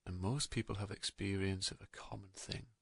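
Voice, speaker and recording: A man narrating a guided meditation in a calm, gentle, soothing manner, leaving unhurried pauses between soft phrases. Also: sits low, creaky, husky, no echo.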